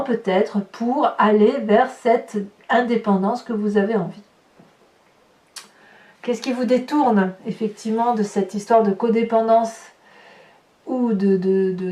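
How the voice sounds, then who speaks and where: A French-accented woman reading tarot cards for viewers, talking her way through each card with hesitant pauses and repeated words. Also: on the high side, a little mellow. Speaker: tarot reader. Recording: echoey room, voice from far off.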